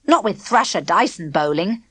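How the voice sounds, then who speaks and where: A woman voicing a serious, worried schoolboy who speaks in a frowning, quietly stern way. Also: medium pitch, expressive, moderate pace, husky, no room sound. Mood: urging.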